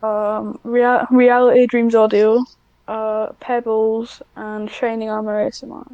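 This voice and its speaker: A woman's voice: a little high, flat delivery, unhurried, smooth, no echo, lo-fi sound. A woman chatting casually as an interview guest, in a hesitant, self-deprecating way. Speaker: guest, hobbyist audio script writer.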